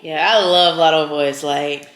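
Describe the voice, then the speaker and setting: A woman's voice: deep voice, fairly even intonation, unhurried, very noisy. A woman, the host's cousin, in casual conversation, arguing her point firmly. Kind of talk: casual chat. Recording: echoey room.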